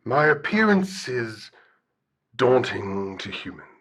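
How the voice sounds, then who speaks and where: An actor playing a solemn, shy, bear-like guardian spirit in an audio drama, speaking formally and hesitantly. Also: male voice, mid-range voice, sing-song, slow, fairly bright tone, slightly rough, exaggerated voice. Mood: wistful, earnest. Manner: formal, hesitant, articulate speech. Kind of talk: audio drama radio call-in acting.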